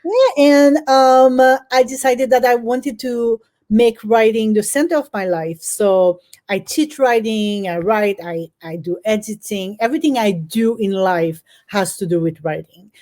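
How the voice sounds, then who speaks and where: A woman from Haiti with a mellow, warm voice speaks as an author guest in an interview. She is middle-aged and answers in an earnest, reflective way, often pausing with ums and restarts. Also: a little high.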